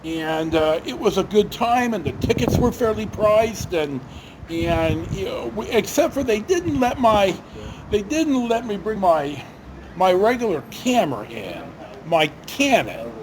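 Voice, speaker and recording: An older, retired man telling stories casually to camera in a halting, rambling, self-correcting way. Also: high-pitched, sing-song, background noise. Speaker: video storyteller.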